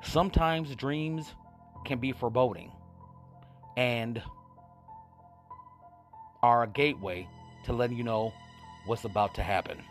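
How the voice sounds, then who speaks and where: A man hosting a vintage radio horror show, introducing it with deliberate, dramatic pauses and casual asides. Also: medium pitch, faint music behind. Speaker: host of old-time radio horror show.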